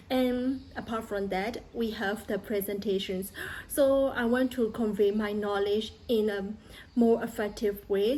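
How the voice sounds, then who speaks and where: A woman's voice: medium pitch, darkish tone, slight background noise, rough recording. A young woman from Myanmar speaking English with a Burmese accent, earnestly telling her channel about her studies, with frequent hesitations. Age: young adult. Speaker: vlogger. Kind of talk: casual vlog talk.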